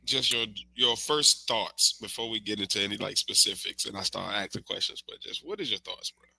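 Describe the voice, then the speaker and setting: A man's voice: lowish voice, a little fast, vocal fry, no room sound, rough recording. A man hosting a podcast in casual, slangy chat, talking through a cold. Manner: loose, animated, slangy banter. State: has a cold. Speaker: podcast host. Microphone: remote stream mic.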